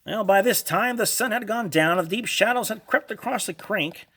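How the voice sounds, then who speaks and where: A man narrating a children's storybook read-along in a dramatic, expressive, animated way. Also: high-pitched, moderate pace.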